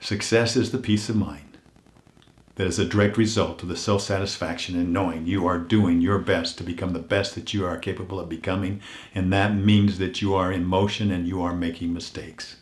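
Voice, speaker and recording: An older man hosting a weekly motivational message, earnest and unhurried, his voice a little hoarse as if he is losing it, often dropping to a hush on heartfelt points. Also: deep voice, fairly even intonation, roomy sound. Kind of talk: reflective motivational talk.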